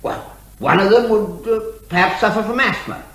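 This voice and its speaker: A man's voice: sits high, moderate pace, fairly bright tone. A man playing a pedantic, unflappable witness who quibbles and reasons hesitantly in a courtroom comedy drama. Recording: roomy, echoing sound.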